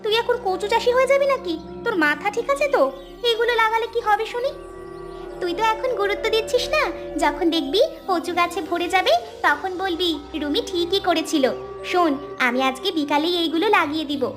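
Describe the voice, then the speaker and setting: A woman plays a poor young village girl in a cartoon tale. The voice is very high, earnest and plaintive, with expressive delivery over clearly audible music. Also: a little fast. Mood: animated. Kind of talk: Bengali cartoon story dubbing.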